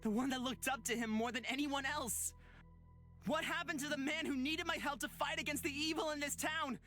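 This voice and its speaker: Earnest young heroine. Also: female voice, sits high, steady tone, bright, forceful tone. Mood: desperate, pleading. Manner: earnest, dramatic, deliberate phrasing. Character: earnest young protagonist pleading with a possessed friend. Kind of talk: visual novel voice acting. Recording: music behind the voice.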